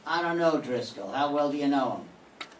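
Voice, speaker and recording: Heard from across a roomy classroom with a faint hiss, an older man doing a wisecracking male private eye, talking at an average pitch. His lines come out clipped, dry and tough-guy, in 1940s radio-play style. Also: animated, normal speed, far from the mic, lightly acted. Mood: terse. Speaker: amateur radio drama student.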